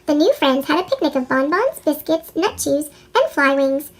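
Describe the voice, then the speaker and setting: A woman's voice: sing-song, moderate pace, poor audio. Chipmunk-voiced woman reading a storybook. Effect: chipmunk pitch-shift voice effect. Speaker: storybook narrator. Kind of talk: children's picture book read-aloud.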